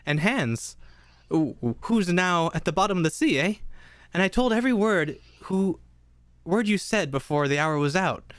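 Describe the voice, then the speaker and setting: Fearless young lad, played by a man, comedic read; halting taunts with swinging intonation. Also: high-pitched, expressive, a bit bright, dry recording, studio quality. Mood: defiant, proud. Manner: halting, with repeated questioning tags. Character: defiant young boy hero, cocky and fearless. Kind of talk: live comedic table read.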